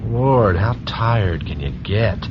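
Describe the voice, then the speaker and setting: An actor playing a weary, sarcastic commuter pilot grumbling to himself in a radio drama. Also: male voice, on the low side, expressive, takes it slowly. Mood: weary, irritated. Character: weary, irritable male space commuter muttering to himself. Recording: dull, noisy spacecraft cabin sound effects.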